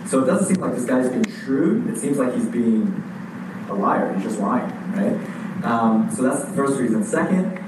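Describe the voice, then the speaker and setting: A male preacher talks through his sermon in a relaxed, conversational way, with frequent fillers and restarts, at an ordinary pitch. He sounds well off the microphone in a room with heavy echo. A steady background noise sits under his voice. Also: moderate pace. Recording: echoey room heard from a distance with background noise.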